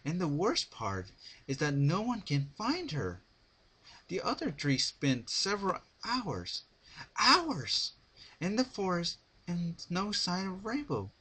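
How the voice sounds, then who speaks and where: A man's voice: high-pitched, wildly swooping pitch, slow, pushed and bright, slightly rough, pitched above the performer's own voice, full character voice. A man voicing an exhausted, bossy female magic pony in a dramatic story reading. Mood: frustrated, urgent. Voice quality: tired, slurred.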